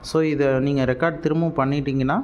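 A man's voice: fairly high pitch, fast talker, lo-fi sound. A man with a Tamil Nadu accent explains things casually in an unboxing vlog, dropping English words into his speech. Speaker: product reviewer. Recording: noticeable steady background noise.

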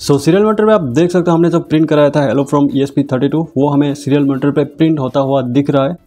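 A man hosting a screen-recorded tech tutorial, explaining each step calmly and mixing in English technical terms. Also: on the high side.

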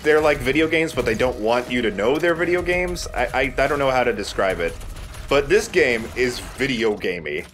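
Animated man doing gameplay commentary. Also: mid-range voice, normal speed, a little hiss or hum. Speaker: gaming let's play host, player.

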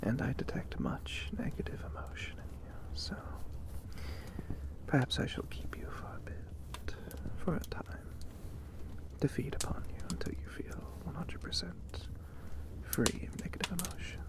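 A man voicing a suave, gently possessive vampire catboy in a soothing ASMR roleplay, breathy and often whispering, with formal, deliberate phrasing. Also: on the high side, close to an everyday voice. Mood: soft, possessive. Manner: slow, deliberate, formal, reassuring.

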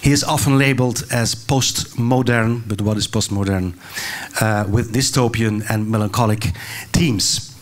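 A man's voice: on the low side, fairly even intonation, unhurried, slight background noise. Older man moderating on stage. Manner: earnest, restarts and fillers. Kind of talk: onstage introduction and interview. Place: stage of a large hall. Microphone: handheld mic.

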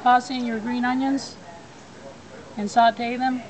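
A woman's voice: a little high, level tone, slow pace, crowd noise, poor audio. A woman giving plain step-by-step cooking instructions in a backyard. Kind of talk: cooking demonstration. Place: backyard outdoors. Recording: outdoor background noise.

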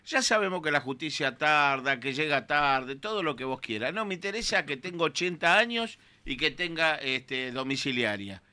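A bright-toned man in his sixties speaks with a Rioplatense Argentine accent, slightly high for his age. He argues in an emphatic, indignant way, pressing his points on a radio panel. His voice comes close on a studio microphone. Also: animated, moderate pace, hardly any pauses. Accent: Rioplatense Spanish. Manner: emphatic, indignant, gestures while talking. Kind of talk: opinionated radio talk. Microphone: studio mic with headphones.

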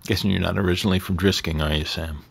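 A curt, irritable American husband. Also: male voice, low-pitched, steady tone, rapid-fire, vocal fry, slightly rough, full character voice. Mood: guarded, grim. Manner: curt, profane. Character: irritable small-town husband, worn down and blunt. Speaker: actor in an audio drama. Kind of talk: naturalistic audio fiction acting.

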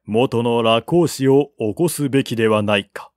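A man reading as a turncoat general in an audiobook, formal, deliberate and persuasive. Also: mid-range voice, a little mellow, dry recording, studio quality, close to an everyday voice. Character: persuasive turncoat general writing to a former comrade.

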